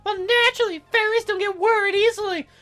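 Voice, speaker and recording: Man putting on a squeaky, sassy teen fairy girl. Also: fairly even intonation, quick pace, pushed and bright, faint music behind. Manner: sassy, casual, boastful. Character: perky, cheeky teenage cloud fairy girl.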